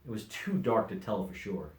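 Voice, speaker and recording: A middle-aged man reading a children's storybook aloud as an expressive narrator. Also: fairly low pitch, moderate pace, roomy sound. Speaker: volunteer story reader.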